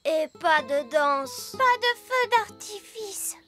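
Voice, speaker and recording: A sweet little fairy princess in a cartoon. The childlike voice is extremely high and slow, earnest in every phrase, over prominent music. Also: female voice, expressive, heavily acted. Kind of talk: animated cartoon dub.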